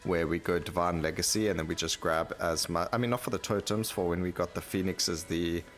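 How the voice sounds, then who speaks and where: A man's voice: low voice, fairly even intonation, a little fast, fairly bright tone. A man giving casual gameplay commentary, thinking aloud and rambling as he plays, with game music behind him. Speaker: gaming video host.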